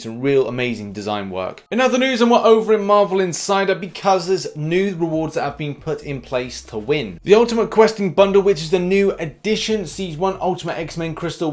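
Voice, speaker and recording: A British man with a slightly high voice hosts gaming news for his channel. He is upbeat and informal, with a cheeky streak, and leans hard on the start of each new phrase. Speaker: gaming news YouTuber and host.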